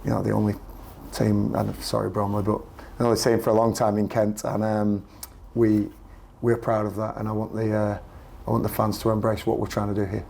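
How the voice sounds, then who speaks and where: Croaky, gravelly football manager. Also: male voice, lowish voice, completely flat delivery, a little fast, darkish tone. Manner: earnest, repeats himself while searching for words. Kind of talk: post-match press interview.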